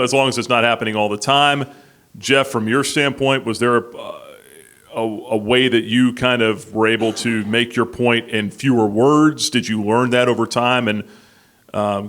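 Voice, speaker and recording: A man's voice: mid-range voice, fairly bright tone. A male moderator with a mid-range voice leads a panel. He speaks conversationally, framing questions for the guests. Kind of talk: conversational interviewing.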